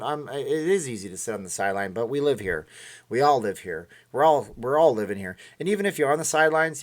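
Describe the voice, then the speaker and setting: A tired man hosting a casual livestream, reading out viewer comments and rambling emotionally. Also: mid-range voice. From Minnesota. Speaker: livestream host.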